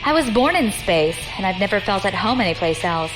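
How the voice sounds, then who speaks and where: A woman's voice: mid-range voice, big pitch swings, a little fast, over music, close to an everyday voice, rough recording. A woman acting a brash, easygoing young space pirate in an audio drama, with slangy, casual speech. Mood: wistful, casual. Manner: slangy, casual buddy talk.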